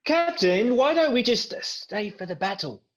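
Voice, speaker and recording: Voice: medium pitch, very animated, unhurried. A performer playing a dim, eager, innocent orc underling with a naive, questioning manner at a table read.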